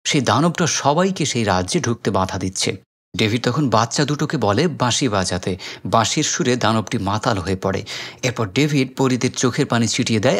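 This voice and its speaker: Man, Bangladeshi accent, mid-pitched voice, scripted storytelling, film recap narrator. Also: breathy edge.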